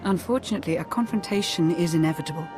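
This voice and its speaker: An actress playing a loyal, no-nonsense military adviser in a video game, speaking firmly and matter-of-factly. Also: female voice, on the low side, racing, over music, close to an everyday voice. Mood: grave, resolute. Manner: firm, matter-of-fact. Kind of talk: video game cutscene acting.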